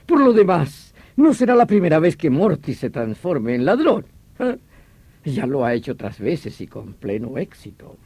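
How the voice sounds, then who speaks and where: Vehement, mellow-voiced police detective, swooping emphatically. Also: male voice, fairly high pitch, sing-song, normal speed, no room sound. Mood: emphatic, insistent. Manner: emphatic, theatrical, explanatory. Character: vehement veteran police detective obsessed with hunting a vampire villain. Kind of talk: radio drama acting.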